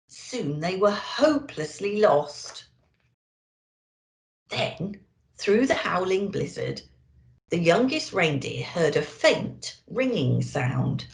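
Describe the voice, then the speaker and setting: Slow, animated woman storyteller. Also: on the low side, vocal fry. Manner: expressive, addressing young children. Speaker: storyteller in character as an elf. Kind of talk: children's story reading. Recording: roomy echo.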